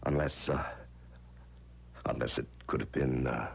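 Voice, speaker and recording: A man's voice: extremely low pitch, steady tone, a little slow, vocal fry, full character voice. An actor playing an evasive, harried husband in an old-time radio drama, hesitant and placating as he talks. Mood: hesitant, cagey. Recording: noisy vintage radio recording with hum.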